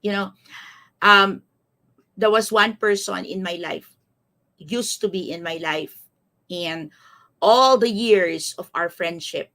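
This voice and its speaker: A woman's voice: medium pitch, slow, pauses often, sharp tone. Filipino woman in her fifties, coaching live. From Philippines. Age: 50s. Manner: repeats phrases, checks in often with listeners. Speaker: life coach, host of a live series. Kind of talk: motivational live-streamed coaching talk.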